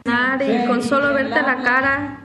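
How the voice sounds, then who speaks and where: A woman speaking haltingly in a field interview. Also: sits high, flat delivery, pushed and bright. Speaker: refugee interviewee.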